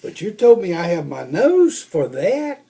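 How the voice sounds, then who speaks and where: Storybook bear cub, performed by a man: young male, curious, excitable, high-pitched, swooping delivery. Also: measured pace, vocal fry, full character voice. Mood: puzzled. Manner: eager, questioning, exclaiming. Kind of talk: children's storybook read-aloud.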